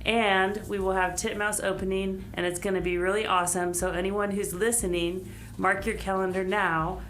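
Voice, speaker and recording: A woman's voice: mid-range voice, flat delivery, moderate pace, a bit bright. Female musician guest, slightly noisy studio. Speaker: band bassist and singer, guest. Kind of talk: casual radio interview chat. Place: small radio studio. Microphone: studio microphone.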